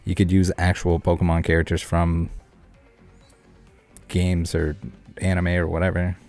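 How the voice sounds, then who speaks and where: A male streamer with a low voice talks casually through a card game. He rambles and jokes with his viewers in a laid-back way. Faint music plays behind him. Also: monotone, measured pace, a little mellow. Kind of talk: casual live game commentary and chat with viewers. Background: background music.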